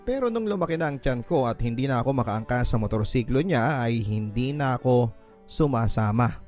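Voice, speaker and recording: A man's voice: medium pitch, darkish tone. A man with a Filipino accent reads a personal letter as dramatic, scripted radio storytelling. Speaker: radio drama narrator reading a listener's letter. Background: background music.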